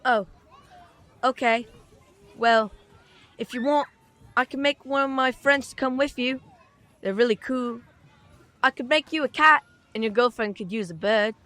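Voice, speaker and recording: A woman's voice: on the high side, measured pace, pauses often, fairly bright tone. A woman voicing a chatty, cheeky little British boy in an audio drama, with a frank, rambling, childlike manner. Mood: eager, frank. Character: chatty, cheeky, earnest little boy who loves comics.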